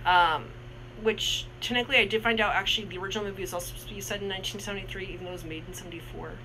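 Bright-voiced young man rambling about a movie. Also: high-pitched, steady tone, racing, slight background noise, rough recording. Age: 20s. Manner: rambling, many restarts and "like" fillers. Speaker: movie review co-host. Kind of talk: casual movie review chat.

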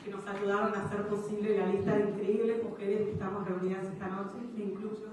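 A young woman from Argentina giving a semi-formal speech into a handheld microphone in a large reception hall, listing items briskly with light asides. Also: mid-range voice, flat delivery, distant mic, very noisy. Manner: quick lists, with light asides. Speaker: event speaker. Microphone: handheld microphone at a podium. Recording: echoey hall, recorded from afar.

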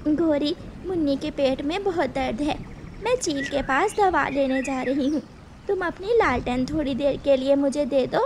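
A woman's voice: high-pitched, normal speed, darkish tone, slight background noise. A woman voicing a devoted, anxious mother bird in a cartoon, earnest and pleading.

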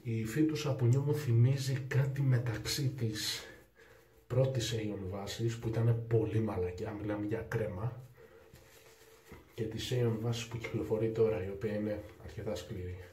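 A young man from Greece chatting unhurriedly while he shaves in a small tiled bathroom, recorded by a camera in front of him. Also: lowish voice. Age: young adult. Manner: relaxed, unhurried, with pauses and sighs while shaving. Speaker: shaving vlogger. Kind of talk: casual talk while shaving. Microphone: camera in front at arm's length. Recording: echoey tiled bathroom, faint hiss.

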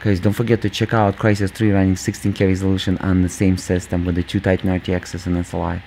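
Squeaky mellow guy talking over pc gameplay, um and uh a lot, lowish voice, faint hiss behind. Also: completely flat delivery, fast talker. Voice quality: squeaky, just-woken voice. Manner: rambling, many fillers, addresses viewers as guys. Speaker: gaming channel host. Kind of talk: casual gameplay commentary.

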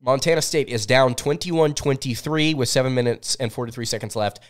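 A man in his twenties hosts a live sports recap show, speaking informally and often thinking aloud. His voice sits at a medium pitch and sounds sniffly from a cold. He is close to a podcast microphone in a small studio. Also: fairly quick. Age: 20s. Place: small indoor home studio. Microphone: close desk podcast microphone.

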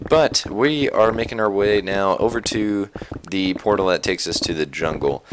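A young man with a somewhat low, slightly raspy voice talks his way through a survival game, rambling and padding his sentences with fillers. His tone is relaxed and conversational, as if talking to viewers at his desk. A faint hiss and soft clicks sit under the voice. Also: moderate pace, poor audio. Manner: chatty, rambling, many fillers. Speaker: Minecraft YouTuber. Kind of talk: casual gameplay commentary.

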